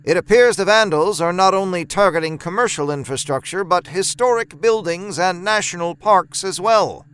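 A formal radio news journalist played by a male narrator in an audio story. The pitch is lifted and the tone is clean. The delivery is measured and serious, like a newsreader's. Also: a bit bright, clear, resonant tone.

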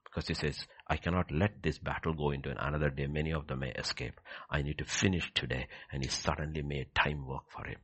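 A man with a husky voice preaching a sermon, emphatic, repeating key phrases and swinging between hushed and loud delivery. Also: extremely low pitch, steady tone, fairly quick. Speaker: preacher leading a prayer service.

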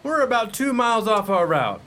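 Radio play: impatient old-hand vendor, acted by a man, gruff manner, high animated delivery, sarcastic lecturing. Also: moderate pace, heavily acted. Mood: exasperated lecturing. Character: grouchy impatient veteran ice cream man training rookie.

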